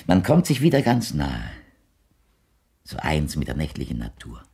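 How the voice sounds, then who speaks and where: An older blind widower in a radio play, with a very low, husky and creaky voice. He is courteous and wistful, choosing ornate words slowly and ceremoniously, with an insinuating edge. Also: wildly swooping pitch, a little mellow, exaggerated voice. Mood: wistful, rapturous. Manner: florid, ceremonious, halting speech. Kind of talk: German radio play acting.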